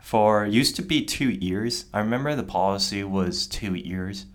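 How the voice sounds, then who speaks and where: A young adult man from Taiwan talks as a podcast guest, relaxed and easygoing. He often repeats words and answers with a string of yeahs. His voice is very low. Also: measured pace. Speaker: interview guest. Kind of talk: casual conversation.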